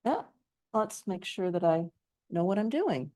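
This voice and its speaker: A woman's voice: mid-range voice, very animated, a little slow, mellow. Chatty woman giving a library report. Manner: chatty, self-deprecating asides. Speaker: library board representative. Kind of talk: presentation at a public meeting. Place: township meeting room. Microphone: handheld microphone.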